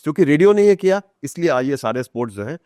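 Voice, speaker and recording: Male interview guest, Indian accent, slightly high voice, dry close sound. Explains emphatically, with repeats and rhetorical questions. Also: lively intonation, fast talker, warm tone, no echo. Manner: mixes Hindi with English phrases. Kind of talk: explanatory conversation with anecdotes.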